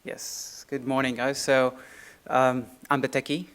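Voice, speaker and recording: A man's voice: medium pitch, little pitch movement, a little slow, a bit bright. A man from Syria with a Syrian Arabic accent, giving an informal, light-hearted technical talk to an audience. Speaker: workshop co-presenter, software engineer.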